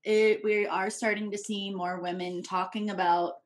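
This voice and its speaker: A woman's voice: mid-range voice, level tone. A woman in her thirties, a podcast guest speaking openly and reflectively about personal experience, seated in a living room at a tabletop microphone. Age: 30s. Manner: open, reflective, thoughtful pauses. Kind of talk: candid personal conversation. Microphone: tabletop podcast mic.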